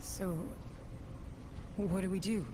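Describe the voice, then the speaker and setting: A woman's voice: mid-range voice, steady tone, takes it slowly, noisy background, natural voice. A woman playing a stern, pragmatic, direct company administrator in a sci-fi video game. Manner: firm, direct, commanding. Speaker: actor voicing a game character. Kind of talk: cinematic video game acting.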